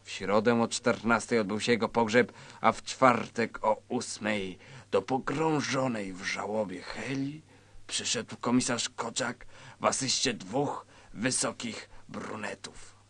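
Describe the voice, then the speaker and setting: A man narrating a scripted satirical story in a measured, mock-solemn manner. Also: on the low side, a bit bright.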